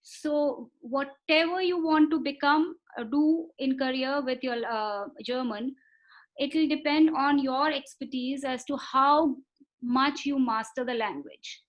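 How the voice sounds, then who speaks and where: A woman giving a webinar lecture over a video call. She talks in a measured way, often repeating words for emphasis and pausing on fillers. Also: sits high, dull audio. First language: not Marathi. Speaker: webinar speaker on foreign languages. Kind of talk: explanatory lecture.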